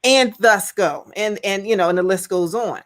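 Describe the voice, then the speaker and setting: A woman's voice: medium pitch, normal speed, no room sound, clean studio sound. A woman in her fifties hosting a challenge group, talking in an earnest, personal way and repeating key points for emphasis. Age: 50s. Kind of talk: casual group talk.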